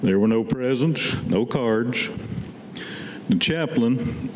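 A man's voice: mid-range voice, slow pace, rough recording. An older man, a veteran, reading his war memoir aloud as a prepared piece at a podium. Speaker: Vietnam veteran reading his own work. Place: indoor meeting room, at a podium. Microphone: gooseneck podium microphone. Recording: podium mic with background noise.

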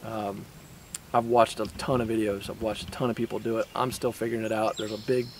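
A man's voice: on the low side, little pitch movement, a little fast. A man in his forties explains, with lots of fillers and restarts, why he chose his sawmill; he is recorded outdoors on a grassy lot. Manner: conversational, many fillers and restarts. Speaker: outdoors channel host. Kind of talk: casual product review talk. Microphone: camera on a tripod, medium framing. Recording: faint outdoor background noise.

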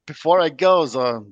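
A man's voice: on the high side, fairly bright tone, no room sound, dull audio. A man, a podcast guest explaining products in a methodical, technical way. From Germany.

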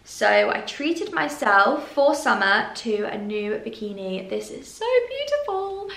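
A woman's voice: a little high, big pitch swings, measured pace, gravelly, a bit bright. Gushing British woman in echoey room. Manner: gushing and enthusiastic. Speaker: fashion vlogger. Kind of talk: casual clothing haul to camera. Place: bright room indoors.

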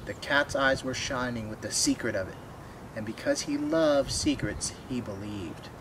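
A man's voice: mid-range voice, normal speed, background noise. A man in his twenties reading a children's picture book aloud. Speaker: storybook reader. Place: outdoors by a wooden fence.

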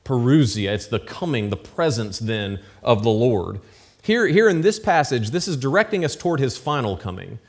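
Pastor preaching conversationally from a pulpit. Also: male voice, medium pitch, sing-song, normal speed. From United States. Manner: conversational preaching with asides and checks for understanding. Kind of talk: sermon. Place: church stage with pulpit, indoors. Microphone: lectern mic at pulpit.

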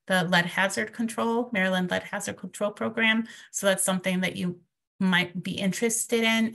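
A woman's voice: fairly low pitch, creaky, fairly bright tone. A woman presenting a webinar over a video call, explaining programs slide by slide with frequent ums. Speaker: presenter from a financial nonprofit.

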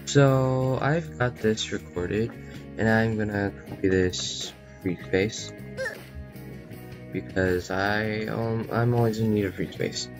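A man giving a casual software tutorial as its host, in a poor home recording. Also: on the low side, takes it slowly. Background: plucked guitar music.

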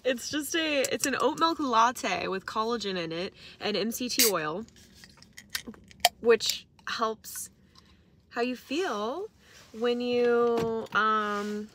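A woman chatting casually and excitably as a travel vlogger on a road trip, with bubbly, exclamatory delivery. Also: on the high side, slow pace, background noise.

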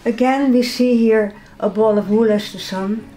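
An older woman from the Netherlands with a Dutch accent, calmly explaining her own paintings in her studio. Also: on the high side, soft background music, low-quality recording. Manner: calm, measured, slightly non-native phrasing. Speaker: artist. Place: home art studio, indoors.

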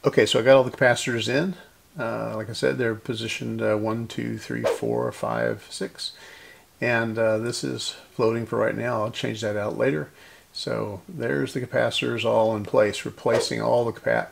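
A man's voice: on the low side, normal speed, gravelly, a little hiss or hum. A man narrating a hands-on repair in a casual, methodical way, thinking aloud as he works. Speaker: host of a radio repair channel.

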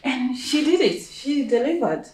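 A woman's voice: high voice, big pitch swings, a little slow, a little mellow. A young woman with a Kenyan accent, chatting playfully and gushing to camera in her living room, recorded on the camera's own mic from a few feet away. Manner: playful, gushing, self-hyping. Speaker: vlogger. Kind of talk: casual chat to camera. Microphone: camera mic at a distance. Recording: echoey room.

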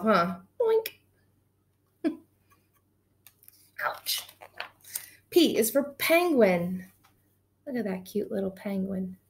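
A woman in her thirties, a teacher reading a picture book aloud to children in an animated, gushing way, recorded on a webcam in a small room at home. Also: mid-range voice. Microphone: webcam at desk distance.

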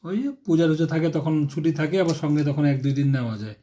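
A man's voice: fairly high pitch, fast, mellow. A young man, chatting offhandedly as a grown son on a family phone call. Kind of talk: casual family phone chat.

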